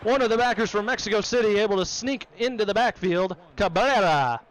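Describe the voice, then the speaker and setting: A man calling play-by-play for a simulated football game, brisk and excitable, joking about his own voice. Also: high voice, poor audio. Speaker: play-by-play commentator. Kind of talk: play-by-play sports commentary.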